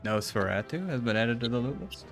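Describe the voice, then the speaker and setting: A man's voice: mid-range voice, expressive, brisk. A man chatting casually and sometimes profanely while streaming an online game with friends. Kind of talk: casual gaming chat with friends. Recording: game music behind the voice.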